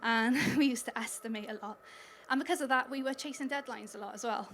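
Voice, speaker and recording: Welsh woman, conference speaker, slightly higher pitch, upbeat and chatty, light room ambience. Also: quick pace, fairly bright tone, slight background noise. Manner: upbeat, chatty, laughs between points. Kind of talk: conference talk. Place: conference hall stage.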